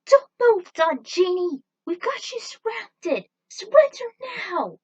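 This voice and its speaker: Commanding heroine hunter voiced by a female narrator, raised pitch, forceful volume, urgent, for storybook action. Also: big pitch swings, slow pace, full character voice. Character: commanding female bounty hunter, bold and alert.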